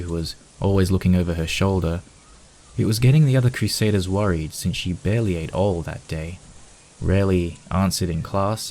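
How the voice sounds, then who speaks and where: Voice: deep voice, a little mellow. A narrator reading a scripted fan fiction story aloud.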